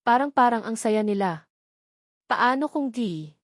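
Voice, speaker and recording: A woman's voice: a little high, animated, measured pace, no room sound, clean studio sound. A woman with a Filipino accent voicing the tender, confiding diary of a lovestruck, insecure young woman in an audio drama. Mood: jealous, uneasy.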